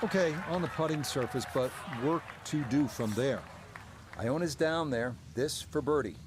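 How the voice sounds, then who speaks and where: A man's voice: mid-range voice, moderate pace, noisy background, natural voice. A man playing a golf broadcast announcer in a video game, giving short conversational play-by-play calls. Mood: measured commentary. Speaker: golf commentator. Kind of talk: televised sports play-by-play commentary.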